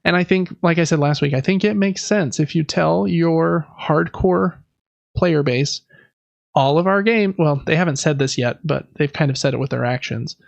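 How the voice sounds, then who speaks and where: Higher-voiced man, gravelly, casual podcast host. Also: normal speed, no room sound, highs cut off. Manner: informal, loose, conversational. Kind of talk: casual gaming industry news chat.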